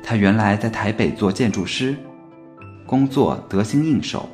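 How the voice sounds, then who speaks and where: A man's voice: fairly low pitch, normal speed, darkish tone. A man narrating a scripted story for an audio drama. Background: music.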